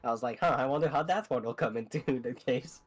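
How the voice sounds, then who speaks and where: A man's voice: mid-range voice, fast talker. A man hosting a casual gaming stream with friends, talking in a rambling, sweary way. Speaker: host of a group gameplay stream.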